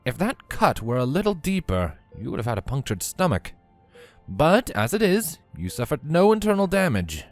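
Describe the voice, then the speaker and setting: A man voicing a brisk, courteous stallion doctor in a storybook reading, clinical and matter-of-fact. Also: a little high, voice pushed up. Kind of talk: dramatised storybook fan fiction reading.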